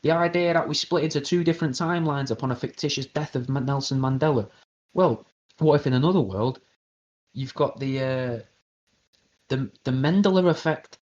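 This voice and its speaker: A British man hosting an internet radio show, delivering a crude, rambling comedic conspiracy monologue in a deadpan way. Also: medium pitch, normal speed, dull audio. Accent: British English.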